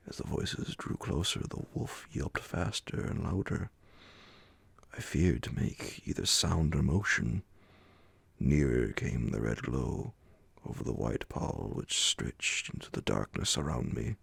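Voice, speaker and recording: A man narrates classic horror as a bedtime story, very low and heavily creaky. His delivery is breathy and often near a whisper, unhurried and full of dramatic pauses. The sound is dry and close. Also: dry recording. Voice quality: gravelly, breathy, often sinking toward a whisper. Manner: dramatic, drawn-out phrasing with many pauses. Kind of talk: slow ASMR bedtime audiobook narration.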